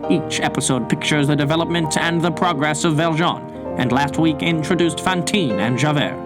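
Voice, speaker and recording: Higher-voiced male announcer over guitar. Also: moderate pace. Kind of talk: scripted radio drama introduction. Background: plucked guitar music.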